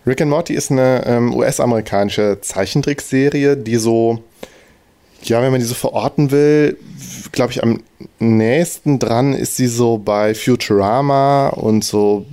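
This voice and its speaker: A man's voice: mid-range voice, unhurried, dark tone. A man hosting a casual podcast chat, talking in loose, hesitant sentences with many restarts. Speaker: podcast host.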